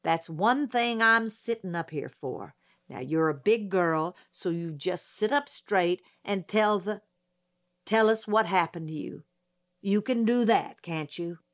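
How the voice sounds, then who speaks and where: A woman reading a storybook voices a gruff older male judge who tries to coax a witness. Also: mid-range voice, animated, slow. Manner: gruff, coaxing.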